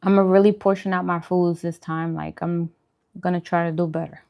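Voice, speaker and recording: A woman's voice: fairly low pitch, little pitch movement, fairly quick, creaky, highs cut off. A woman chatting casually on a livestream as host while she eats, informal and self-deprecating in her manner. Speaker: vlogger hosting a live mukbang stream.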